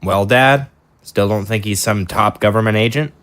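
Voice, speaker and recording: A man's voice: fairly low pitch, moderate pace, a bit bright, natural voice. A man playing a worried, sarcastic young son in an audio drama, full of questions.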